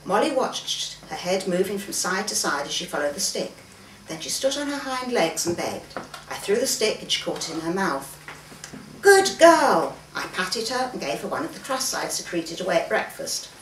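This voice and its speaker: A woman reads a story aloud with lively, varied intonation, acting out the dialogue as she goes. She is recorded at some distance in a roomy space, with faint noise behind her. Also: on the low side, fairly quick. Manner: acts out the dialogue, with occasional stumbles. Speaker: author reading own fiction aloud. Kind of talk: expressive story reading from a manuscript. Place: room in a private home, live reading event.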